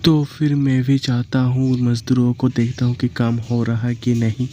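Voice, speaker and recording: A man's voice: mid-range voice, level tone, moderate pace, dark tone, close to an everyday voice. A man voicing an authoritative village landlord in a cartoon story, a protective father giving instructions. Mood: stern, instructing.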